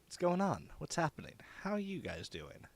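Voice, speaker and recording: A man's voice: fairly high pitch, wildly swooping pitch, moderate pace, vocal fry. A man co-hosting a casual podcast chat, bubbly and rambling, laughing often, with a sore throat. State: sore throat from a strained vocal cord. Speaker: co-host.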